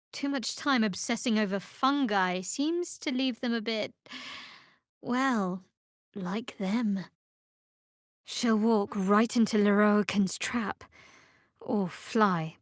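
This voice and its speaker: Woman voicing a young dark cleric in a video game, guarded and wry, clipped sarcasm, super dry clean studio sound. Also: mid-range voice, no room sound, close to an everyday voice.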